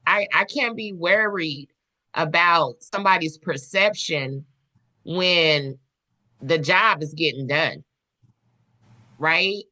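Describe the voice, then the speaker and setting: Woman panelist, slow deliberate pace, low pitch, bright tone, measured storyteller. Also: rough recording. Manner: measured, poses questions and tells stories from work. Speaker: podcast panelist. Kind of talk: casual discussion.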